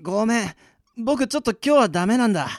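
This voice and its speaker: A performer playing a gentle, soft-spoken high school boy in an amateur radio drama, mild and polite. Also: animated, fast, no room sound, studio quality, close to an everyday voice. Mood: friendly, mild. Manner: mild, polite boyish speech.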